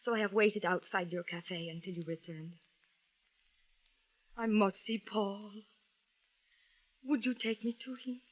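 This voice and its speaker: A woman's voice: mid-range voice, fairly even intonation, unhurried, pauses often, lightly acted. A woman playing an anxious, devoted wife in an old radio drama, pleading in careful, formal sentences. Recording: dull old radio recording.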